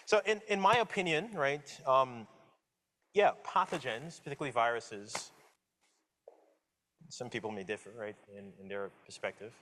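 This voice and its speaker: A man in his forties from the Caribbean with a slight Caribbean accent, giving a relaxed public science lecture and talking with the audience, with many fillers and restarts. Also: medium pitch, moderate pace, long pauses, slight background noise. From Guyana, raised in Jamaica. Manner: casual, friendly, asks audience questions, many fillers and restarts. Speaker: guest lecturer, professor.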